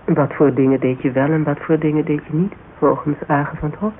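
Radio play role: formal, discreet Dutch male questioner played by an actor, fairly high voice, phone-like narrow sound with light hiss. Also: fairly even intonation, fairly quick, natural voice. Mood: probing. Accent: Dutch, Netherlands. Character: polite, probing male interviewer, formal and discreet. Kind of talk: naturalistic radio drama dialogue. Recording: narrow, hissy old radio recording.

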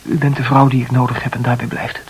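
An actor playing a self-absorbed, intense man in a Dutch radio play, talkative and self-analysing, with halting repetitions. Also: medium pitch, flat delivery, slight background noise, lightly acted, poor audio. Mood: ardent.

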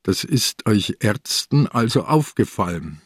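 An actor playing an ailing old police inspector in a German radio crime drama, calm, probing and relentless in a deliberate way. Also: male voice, on the low side, slightly rough, no echo, clean studio sound, full character voice. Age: older.

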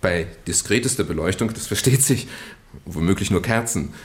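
A man acting in a German radio drama as a British gentleman nephew, earnestly and haltingly confessing a well-meant deception in genteel, roundabout phrases. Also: deep voice, creaky. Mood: eager, justifying. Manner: hesitant, roundabout, genteel storytelling with stammered repeats.

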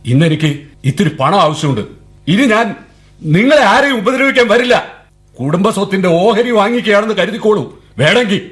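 A man's voice: high voice, animated, fairly quick, fairly bright tone. An actor playing a defiant young man who mocks his family with bitter laughs. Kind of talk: 1970s radio drama acting.

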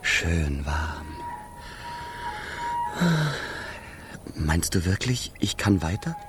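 A man's voice: deep voice, expressive, slow pace. A man playing a moody wind spirit in a German children's radio play, with a theatrical, sighing delivery. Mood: relieved. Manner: theatrical, sighing, self-pitying or giddy. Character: Udo Wind, moody, whiny, ticklish male wind spirit.

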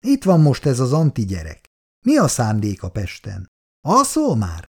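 A man's voice: medium pitch, huge pitch swings, breathy edge, full character voice. A man voicing a worried, reproachful elderly wife who keeps nagging her sleepy husband.

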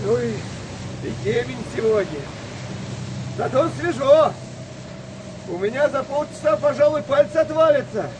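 Very high-voiced, hesitant young-sounding sailor. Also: male voice, background noise. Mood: chatty, cold. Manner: halting, colloquial. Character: hesitant, doubting wartime sailor taking over the watch. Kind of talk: radio play acting.

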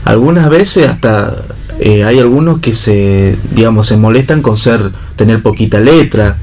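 A man with an Argentine accent chatting in a panel discussion, feeling his way through halting phrases. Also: lowish voice, darkish tone. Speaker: radio talk show panellist. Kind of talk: casual discussion. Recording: clear background noise, room ambience.

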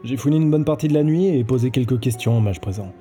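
A man's voice: mid-range voice, quick pace, mellow, natural voice. A man acting an earnest, self-assured investigator in an audio drama. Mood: intent. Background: music.

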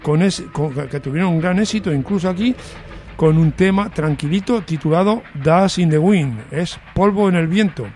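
A man's voice: high voice, normal speed, a little mellow, a little hiss or hum. A middle-aged man with an accent from Spain, hosting a music show in a chatty, joking way and often repeating words as he talks. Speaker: music radio host. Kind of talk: casual music commentary.

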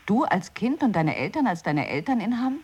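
A woman's voice: medium pitch, very animated, normal speed, a little mellow, breathy edge. An actress playing a doubtful woman who keeps questioning her partner, in an experimental radio play.

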